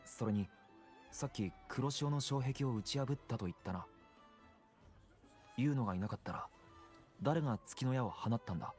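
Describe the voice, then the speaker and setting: A man's voice: mid-range voice, fast talker, pauses often, a little mellow, lightly acted. A man voicing an earnest young warrior in a video game, plain and direct in his questioning. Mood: insistent, confused. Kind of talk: Japanese video game voice acting. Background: video game music.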